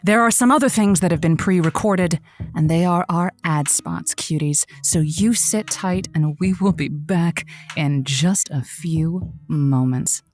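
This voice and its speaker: An actress playing a radio advice host who is dramatic, effusive and easily flustered, in a scripted audio comedy. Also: female voice, sits low, a little slow, darkish tone, soft background music. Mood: smooth presenting.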